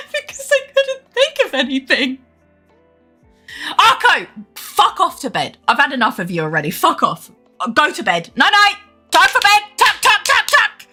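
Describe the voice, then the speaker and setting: A young woman streamer chatting casually while gaming, animated and swearing freely with frequent laughs. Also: extremely high pitch, unhurried, pauses often, faint music behind. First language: English.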